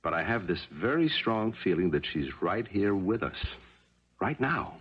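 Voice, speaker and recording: An American actor playing a troubled, pensive middle-aged husband in a 1970s radio drama, with a husky voice. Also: male voice, on the low side, very animated, moderate pace. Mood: pensive, haunted. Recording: muffled 1970s radio recording.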